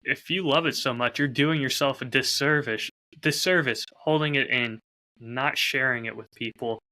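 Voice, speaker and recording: A man with a very bright, crisp-edged voice at a middling pitch hosts a casual podcast. He speaks reflectively and candidly, laying out his thoughts like someone used to telling stories. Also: normal speed. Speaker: podcast host and audio drama creator.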